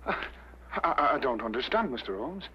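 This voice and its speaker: An actor playing a nervous, jealous male suspect in a vintage detective drama, defensive and halting in his speech. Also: medium pitch, sing-song, slight background noise, close to an everyday voice. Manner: halting, stammering. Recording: dull old broadcast recording.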